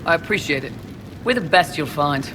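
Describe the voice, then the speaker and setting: A man plays a loyal young-sounding mercenary soldier in a fantasy game, with a slightly high male voice. He speaks plainly and confidently, all business. Clear ambient noise sits behind him. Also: animated, moderate pace, natural voice. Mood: confident, proud. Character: loyal, plain-spoken mercenary soldier delivering his commander's offer. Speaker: mercenary messenger. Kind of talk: video game dialogue acting.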